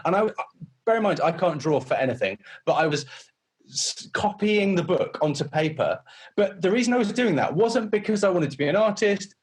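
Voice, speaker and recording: A man's voice: high voice, expressive, normal speed, breathy edge. A man chatting casually as an interview guest, often repeating words as he rephrases. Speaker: interview guest, runs a creative agency.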